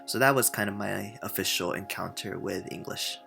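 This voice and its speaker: A man's voice: low-pitched, normal speed, gravelly, over loud music. A young man from Japan whose first language is Japanese, a university student answering interview questions in an earnest way with many filler sounds. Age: young adult.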